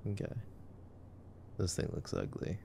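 A man giving casual, hushed ASMR movie commentary as a boyfriend roleplay, sometimes dropping to a whisper. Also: a little slow, slight background noise. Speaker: ASMR voice actor.

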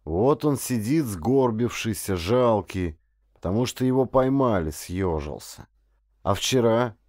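A man's voice: fairly low pitch, expressive, unhurried, darkish tone, no echo, clean studio sound. A man voicing a stern, learned father in an audiobook, reading aloud in a grave, emphatic way. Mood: brooding.

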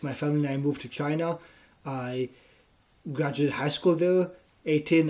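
Israeli man, dark mellow voice. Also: medium pitch. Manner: earnest, storytelling with frequent "right?" tags. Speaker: guest, personal trainer. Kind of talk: casual interview talk. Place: small room at home. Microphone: headset.

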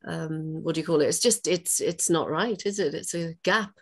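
A woman asks a question over a video call, halting as she searches for her words and reasons it through aloud. Her voice is lower than most women's. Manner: hesitant, many fillers and restarts while reasoning. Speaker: audience member. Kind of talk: question in an online talk.

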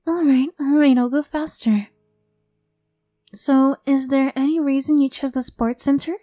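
A woman's voice: high voice, fairly even intonation, measured pace, mellow, no echo, clean studio sound, faint music behind, pitched above the performer's own voice. A woman playing an affectionate young girlfriend, a sweet but tough-edged former bully, in an ASMR roleplay. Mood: affectionate, bubbly.

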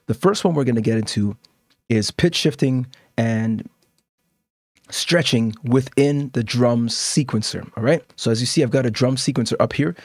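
A man's voice: medium pitch, darkish tone, no room sound. A middle-aged man hosting a casual music production tutorial, explaining step by step in an informal way and often checking in as he demonstrates. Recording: music and drum samples playing behind. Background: instrumental music and beat loops.

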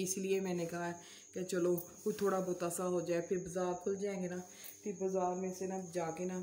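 A Pakistani woman talks casually to her vlog viewers in a homely, pious, repetitive way. Her voice is mellow and fairly even in melody. The room adds clear echo. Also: fairly low pitch. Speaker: vlogger. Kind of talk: casual home vlog chat. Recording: roomy echo, faint background hiss.

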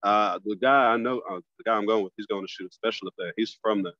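A man, a stand-up comic, chatting casually as a podcast guest over a phone video call, loose and relaxed, often restarting his phrases. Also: fairly low pitch, quick pace, no room sound, lo-fi sound. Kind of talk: casual conversation.

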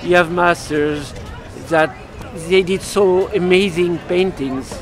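Older man with halting speech, high voice. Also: some chatter behind. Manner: halting, searches for words, animated hand gestures. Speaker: passerby at a market. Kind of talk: casual conversation. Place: outdoors at a market.

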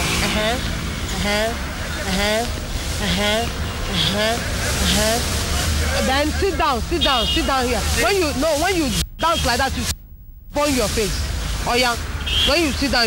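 A woman's voice: on the high side, animated, unhurried, long pauses. A young Nigerian woman posing as a film recruiter, giving bossy, insistent instructions on a busy roadside, caught on a hidden camera. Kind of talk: hidden-camera prank, street talk. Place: busy city roadside outdoors. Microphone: hidden camera from a distance. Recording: hidden camera, loud street traffic. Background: loud traffic and street bustle.

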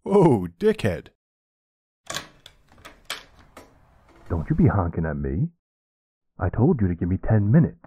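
A man playing a tender but commanding mafia boss husband in an ASMR roleplay, with a low, gravelly, husky voice. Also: huge pitch swings, normal speed, mellow, dry recording, full character voice. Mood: angry.

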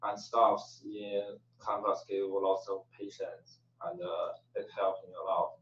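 A man's voice: sits low, little pitch movement, unhurried, a bit bright, far from the mic. A young adult man from China, a student, answering hesitantly with many "uh"s. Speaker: student panelist. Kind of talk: casual panel answer. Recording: muffled, roomy, from off the mic.

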